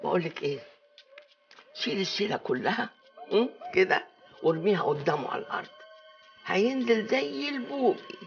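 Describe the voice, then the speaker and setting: A woman's voice: medium pitch, animated, brisk, vocal fry, pauses often, highs cut off, soft background music. An older woman with an Egyptian accent acting a bossy, cunning aunt in a TV comedy, drawling and coaxing theatrically. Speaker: actor in a TV comedy series. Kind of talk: comic TV drama dialogue. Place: living room set, indoors.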